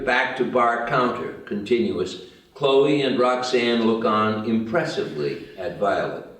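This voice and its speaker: A man reading out stage directions in scripted narration at a table read. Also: lowish voice. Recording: very echoey room, distant mic.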